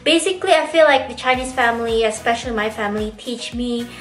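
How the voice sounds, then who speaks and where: A woman in her twenties from Indonesia, talking casually and reflectively to camera in her bedroom, often breaking into soft laughs. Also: on the high side, fast, echoey room. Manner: chatty, reflective, often laughs softly mid-sentence. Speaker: vlogger.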